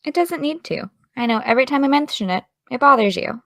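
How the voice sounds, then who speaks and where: A woman's voice: high voice, animated, fast, fairly bright tone, no room sound, studio quality. A woman playing a needling, indignant young American woman in an audio drama, petulant and provoking. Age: young adult.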